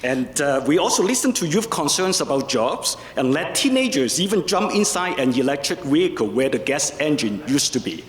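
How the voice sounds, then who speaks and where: A man's voice: fairly high pitch, sing-song, normal speed, few pauses. A man giving a plain, explanatory civic presentation. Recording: roomy hall reverb, faint noise.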